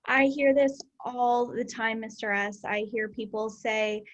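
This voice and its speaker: A woman's voice: a little high, fairly even intonation, measured pace. Earnest woman hosting a discussion. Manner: earnest, emphatic, reflective. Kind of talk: casual discussion.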